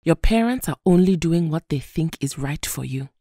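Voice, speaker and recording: A woman playing a calm, motherly heroine in an audio drama, soothing and measured, firm but kind. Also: sits low, no echo, clean studio sound. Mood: firm, reassuring.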